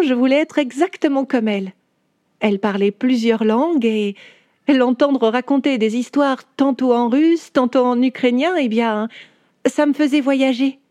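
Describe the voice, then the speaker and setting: A woman's voice: fairly high pitch, no room sound, lightly acted. Woman playing a chatty 19th-century woman writer. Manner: warm, chatty, speaks directly to the listener. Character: 19th-century woman writer telling her own life story. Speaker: storyteller. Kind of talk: first-person storytelling for children.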